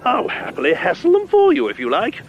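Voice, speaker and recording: Comic radio agent: British, pushy, glib, highish and extremely animated, slightly muffled phone sound. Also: male voice, brisk, creaky, full character voice. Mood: breezy, wheedling. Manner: florid, glib, swooping. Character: breezy, wheedling male showbiz agent, cheerfully pushy. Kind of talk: comic radio drama dialogue. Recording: muffled phone call, some background noise.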